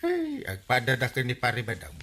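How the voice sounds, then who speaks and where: A man's voice: mid-range voice, wildly swooping pitch, fairly quick, sharp tone. A man acting a hesitant, put-upon Filipino villager in a comedy radio drama, peppering his talk with "eh". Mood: gossipy. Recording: clearly noisy radio recording.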